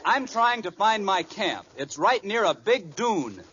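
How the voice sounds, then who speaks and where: A man's voice: high voice, big pitch swings, unhurried, a loud crowd. An actor playing an exasperated man lost in the desert, earnest and theatrical, in an old radio comedy. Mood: excited, exasperated. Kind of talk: 1940s radio comedy acting. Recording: dull, noisy old radio recording with audience.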